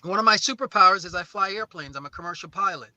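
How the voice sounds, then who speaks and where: A man's voice: high-pitched, quick pace, over a noisy crowd. A man from St. Croix and New Orleans talking as an interview guest, telling stories in an earnest, motivational way and repeating phrases for emphasis. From born in St. Croix, raised in New Orleans. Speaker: guest, recording artist and label co-founder. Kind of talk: conversational interview, storytelling.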